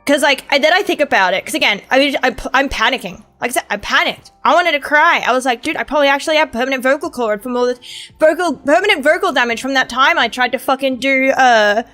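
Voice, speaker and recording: A woman streamer with a sore throat chats casually, rambling and swearing. Her voice sits high. Faint music plays in the background. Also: animated, quick pace, pushed and bright. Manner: rambling, swearing, laughing chatter.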